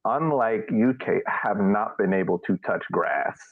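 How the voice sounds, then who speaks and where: Male panelist, slightly low pitch, dry room, narrow radio-like band, sarcastic conversational delivery. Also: measured pace, vocal fry, no room sound. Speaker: podcast panelist. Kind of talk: casual chat. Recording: narrow phone-like, radio-like sound.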